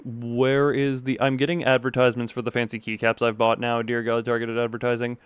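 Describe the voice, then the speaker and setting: A man's voice: medium pitch, little pitch movement, brisk, clean tone, no room sound, clean studio sound. A man chatting casually on a gaming livestream and reading game notes aloud, rambling, easily sidetracked and stumbling over words. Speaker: live streamer.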